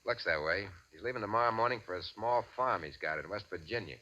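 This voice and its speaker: An actor playing a slick, bossy gangster and nightclub owner, brash and glib, in a 1940s radio drama. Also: male voice, lowish voice, fast talker, pushed and bright. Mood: cold, scheming. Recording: dull, noisy vintage radio recording.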